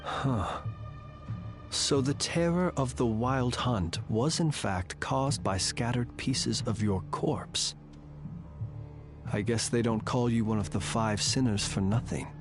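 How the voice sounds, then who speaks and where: An actor playing a composed, dry-witted man in a video game, speaking in a measured, wry way. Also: mid-range voice, over music, lightly acted. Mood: cool, wry. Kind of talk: dramatic game voice acting.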